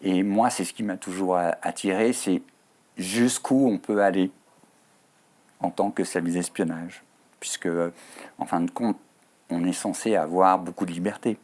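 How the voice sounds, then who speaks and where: Man, Swiss French accent, author guest, TV interview, lowish voice, thoughtful, hesitant. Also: fairly even intonation, unhurried, darkish tone. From Switzerland. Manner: thoughtful, hesitant with frequent fillers. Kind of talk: TV studio interview.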